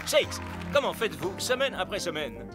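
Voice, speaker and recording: Cartoon tv sports reporter, nosy and polished, lively pitch, music in back. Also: male voice, normal speed, crowd noise. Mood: curious. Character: polished, inquisitive male TV sports interviewer. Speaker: sports interviewer. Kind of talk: French animated series dub acting.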